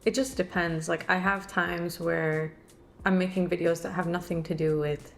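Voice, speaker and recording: A woman's voice: fairly low pitch, steady tone, moderate pace, creaky, slight background noise. Thoughtful woman in her thirties. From United States. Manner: thoughtful, reflective, hedging. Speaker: guest. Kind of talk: casual chat. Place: living room at home.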